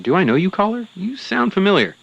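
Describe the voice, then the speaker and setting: An actor playing a cranky, self-important Sasquatch hunter as a blustery, indignant radio show guest. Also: male voice, high-pitched, sing-song, brisk, gravelly, no room sound, highs cut off, exaggerated voice. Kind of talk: acted radio interview.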